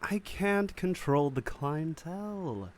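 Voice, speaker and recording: A man's voice: on the high side, expressive, takes it slowly. A man playing a flamboyant, fawning middle-aged alien casino boss in a script table read, theatrical and flowery. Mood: anxious, pleading.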